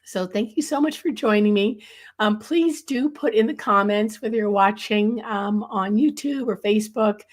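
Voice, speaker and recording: A middle-aged woman with a chest cold, hoarse, teaching a live-streamed nature sketching lesson from a room at home, rambling with many ums and uhs. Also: mid-range voice, normal speed.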